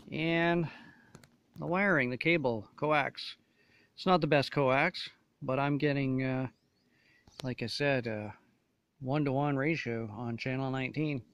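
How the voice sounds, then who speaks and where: A man's voice: mid-range voice, vocal fry, a bit bright. A man casually demonstrating gear as a reviewer, talking in short, hesitant phrases. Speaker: gear reviewer showing a radio install.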